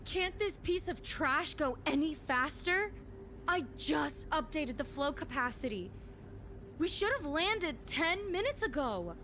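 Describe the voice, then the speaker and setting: A woman's voice: sits high, very animated, measured pace, bright, forceful tone, a little hiss or hum, exaggerated voice. A woman playing a desperate, hot-tempered young female pilot in a fan-made audio drama. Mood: frustrated, irritated. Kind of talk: fan audio drama acting, first-person narration and dialogue.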